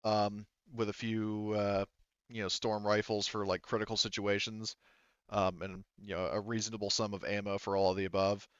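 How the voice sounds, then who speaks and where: A man's voice: on the low side, flat delivery, moderate pace, a bit bright, clean tone, no room sound, dull audio. A man casually game mastering a tabletop RPG in a hesitant, conversational, wordy way.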